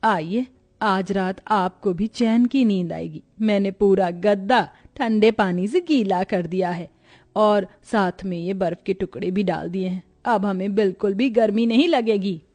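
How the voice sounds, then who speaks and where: A woman's voice: medium pitch, measured pace, darkish tone, natural voice. A woman playing a naive, thrifty young village bride in a comic cartoon moral story. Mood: cheerfully matter-of-fact.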